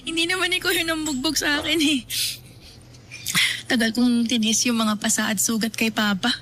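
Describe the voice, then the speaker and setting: Actress as a young woman, abuse survivor, wry and resigned, slightly high voice, faint background hiss. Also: steady tone, moderate pace, bright, forceful tone. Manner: wry, resigned, laughs off pain. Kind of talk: Filipino radio-style drama acting.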